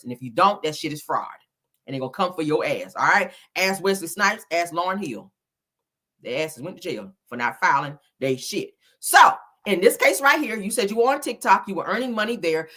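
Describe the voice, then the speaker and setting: A woman giving animated online reaction commentary in a blunt, scolding, emphatic manner, swearing freely and repeating phrases for effect. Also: medium pitch. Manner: blunt, scolding, heavy profanity. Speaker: YouTuber commentating on celebrity and viral topics.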